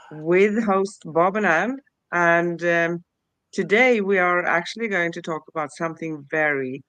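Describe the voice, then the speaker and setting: A woman's voice: lowish voice, fairly bright tone, clean tone, dry recording. A woman opening a talk show on a video call. She is cheerful and laughs a lot, and she has a cold. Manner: cheerful and bubbly, with frequent light laughter. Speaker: co-host. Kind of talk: show host introduction.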